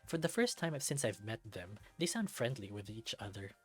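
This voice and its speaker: A man's voice: on the low side, sing-song, normal speed, fairly bright tone, slightly rough. A man reading first-person narration from a visual novel as a scripted read. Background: music.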